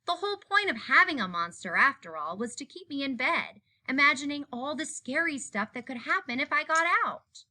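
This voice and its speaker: A woman reading a children's picture book aloud in an expressive narrating style. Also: sits high, brisk, hardly any pauses. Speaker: story reader.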